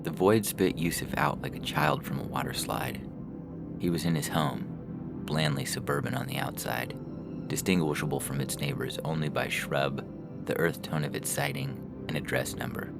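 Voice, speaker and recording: A man's voice: extremely low pitch, lively intonation, normal speed, gravelly. A man reading scripted fiction as a story narrator. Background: music.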